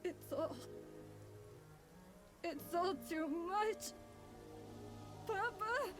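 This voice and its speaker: A small boy in a video game, overwhelmed and anguished. The voice is extremely high and childlike. Soft music plays along with it. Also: extremely slow, exaggerated voice. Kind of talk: game voice acting.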